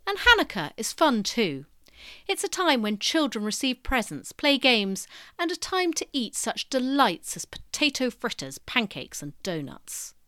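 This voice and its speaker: High, very animated woman narrator. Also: unhurried, no echo, clean studio sound. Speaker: presenter of an educational history podcast. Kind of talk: scripted educational narration.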